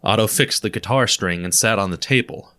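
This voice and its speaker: A man's voice: lowish voice, fairly quick, sharp tone. A man narrating an audio drama in a scripted, storytelling manner.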